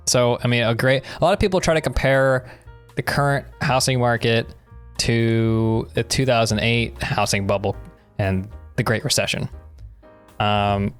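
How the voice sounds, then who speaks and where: A man's voice: mid-range voice, clean tone. A young man co-hosting a podcast, chatting casually and giving opinions in an explanatory way. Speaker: podcast co-host. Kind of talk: casual conversational discussion.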